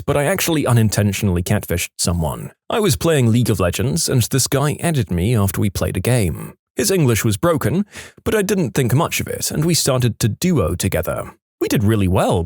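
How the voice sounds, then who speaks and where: Animated male storytime narrator, close dry sound. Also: mid-range voice, no room sound. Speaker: host and narrator of an online stories channel. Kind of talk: scripted storytime narration of posts read aloud.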